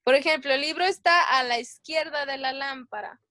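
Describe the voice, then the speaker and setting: High-voiced woman teaching grammar. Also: moderate pace, sharp tone. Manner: patient, quizzing students, thinking aloud. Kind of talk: online class drill.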